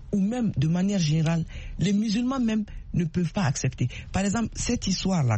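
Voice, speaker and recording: A woman speaks in a husky, slightly rough voice. She gives her opinion as a caller to a discussion show, thinking aloud in everyday, spontaneous language. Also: deep voice, dark tone. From Africa. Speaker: call-in radio guest.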